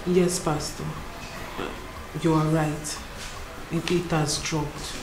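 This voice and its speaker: A Nigerian-accented actress playing a devout older sister who pleads and protests earnestly. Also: female voice, low-pitched, flat delivery, slow pace, poor audio. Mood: pleading.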